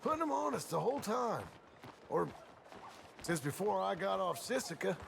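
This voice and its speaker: An actor playing a weary, brooding ex-outlaw cowboy in a video game, terse and plain-spoken, with an American accent. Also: male voice, high voice, big pitch swings, normal speed, gravelly, background noise, full character voice. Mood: bitter. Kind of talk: dramatic video game dialogue.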